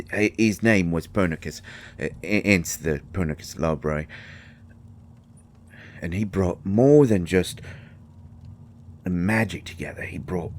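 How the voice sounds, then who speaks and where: A man playing a shy, kindly mushroom fairy in a roleplay audio drama, speaking haltingly with folksy, ungrammatical phrasing. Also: sits low, darkish tone, exaggerated voice. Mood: explaining, reverent. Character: shy, kindly male mushroom fairy living in magic library. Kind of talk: scripted roleplay audio drama addressed to the listener.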